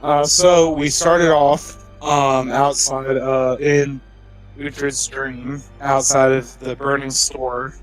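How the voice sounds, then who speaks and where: A man's voice: medium pitch, low-quality recording. A young man, one of the players, recapping the last game session in a rambling, filler-heavy way.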